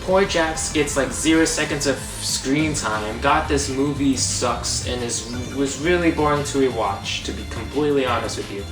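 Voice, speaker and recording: A young man hosts a comedic movie review in a sarcastic, exasperated voice of middling pitch. He sounds distant and echoey, with clear guitar music under him. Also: moderate pace, hardly any pauses. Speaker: host.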